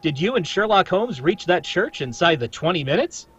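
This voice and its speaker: A man's voice: high voice, very animated, normal speed, fairly bright tone, husky, dull audio, heavily acted. A man playing a chummy, folksy radio announcer selling products in old-time style. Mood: chummy, persuasive. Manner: folksy, salesmanlike. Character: chummy, eager radio announcer pitching wine. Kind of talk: 1930s-40s radio announcer reading.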